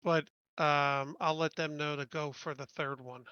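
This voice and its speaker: A man talks casually as a player at a tabletop game. His voice sits a little high and has a bright, forward edge. The recording is close and dry, slightly limited in range. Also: fairly even intonation, a little slow, smooth, no room sound, muffled recording.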